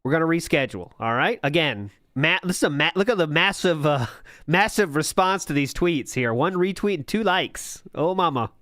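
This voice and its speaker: A man's voice: fairly high pitch, animated, moderate pace, fairly bright tone, dry recording, clean studio sound. A man hosting a podcast, giving sarcastic, mocking commentary on old posts and live chat and laughing often. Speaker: podcast host.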